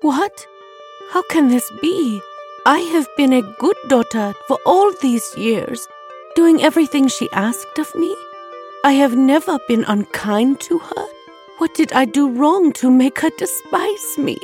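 A woman voicing a gentle young princess in a storybook, with an earnest, pleading manner. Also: high voice, expressive, a little slow, soft background music, pitched above the performer's own voice. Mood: hurt, pleading. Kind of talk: storybook character voice.

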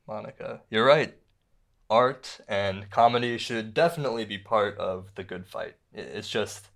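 An actor playing an insecure, self-pitying stand-up comedian in a radio play, speaking in a halting, conversational way. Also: male voice, on the low side, a bit bright. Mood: apologetic, earnest. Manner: halting, conversational, with repeated words. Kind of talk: naturalistic radio play dialogue.

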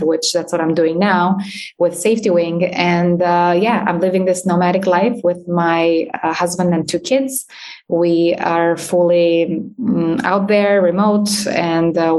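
A woman in her thirties, raised in Albania, speaking as a guest in a podcast interview, with frequent fillers and light laughs. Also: fairly low pitch. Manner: warm, many fillers, laughs while talking. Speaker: guest, startup founder. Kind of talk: interview conversation.

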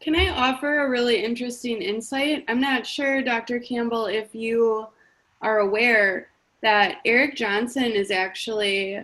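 A woman hosts a podcast interview. Her voice sits a little high, and she breaks in eagerly to share an insight with her guest. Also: steady tone, unhurried, a bit bright, poor audio.

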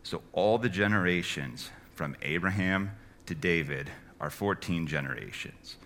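A man reading a long genealogy from scripture in a slow, steady recitation. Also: deep voice, slight background noise. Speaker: scripture reader.

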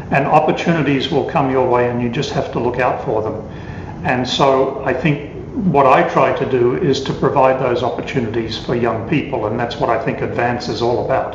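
Older man, earnest scientist guest; roomy, muffled, noisy remote audio. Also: medium pitch. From Australia. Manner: earnest, repeats words as he goes. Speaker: award-winning scientist guest. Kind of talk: remote interview conversation. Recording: echoey, dull, noisy remote line.

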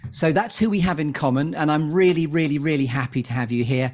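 A man hosts an interview podcast with a slightly high voice. He is effusive and playful, fond of wordplay, and addresses his guest like a showman introducing an act. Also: a little fast. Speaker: podcast host. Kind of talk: interview with a scripted-sounding show intro.

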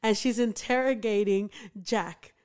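A woman's voice: medium pitch, a little slow, a little mellow, clear, resonant tone. A young woman co-hosting a podcast, chatting casually about a TV show, laughing often and using lots of fillers. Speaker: podcast co-host.

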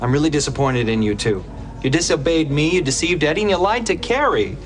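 Male actor, middle-aged professor father, earnest, awkward, sitcom delivery, expressive pitch, music underneath. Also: a little fast, close to an everyday voice. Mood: stern, disappointed. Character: earnest professor dad, firm but awkward. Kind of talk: sitcom acting.

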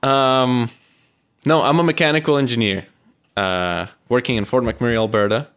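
A man's voice: mid-range voice, normal speed, fairly bright tone, clean tone. A man from Venezuela, a native Spanish speaker with a Venezuelan accent, co-hosting a casual podcast over a remote call and laughing easily. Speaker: podcast co-host. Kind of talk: casual chat.

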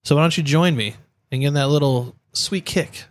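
A man's voice: on the high side, normal speed. A man co-hosting a podcast with playful, joking casual banter. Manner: jokey, playful. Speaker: podcast co-host.

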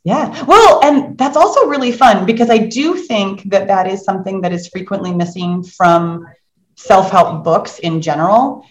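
A middle-aged woman hosting a book club as a coach, chatting casually in an animated, encouraging and affirming way. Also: mid-range voice, normal speed. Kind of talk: casual chat in a live online book club. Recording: roomy, echoing sound.

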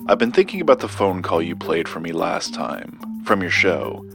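Dry, deep-voiced male investigator. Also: sing-song, normal speed, vocal fry, over music, exaggerated voice. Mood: dry, understated. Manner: dry, understated. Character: dry, guarded, loyal male investigator sidekick. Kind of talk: audio drama dialogue.

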